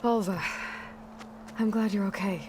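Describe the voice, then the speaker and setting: An actress voicing a blunt, self-reliant young huntress in a video game, with a dry, understated delivery. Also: female voice, medium pitch, steady tone, a little slow, background noise, lightly acted. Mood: wary. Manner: dry, understated, matter-of-fact. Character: guarded, blunt, self-reliant young female machine hunter. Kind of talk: naturalistic video game acting.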